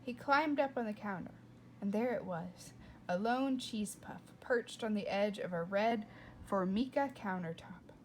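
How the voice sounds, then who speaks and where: A woman reading a children's book aloud with expressive, playful and dramatic narration. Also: mid-range voice, measured pace, a little hiss or hum, low-quality recording. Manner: dramatic, playful delivery with whispers and asides. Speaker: read-aloud storyteller.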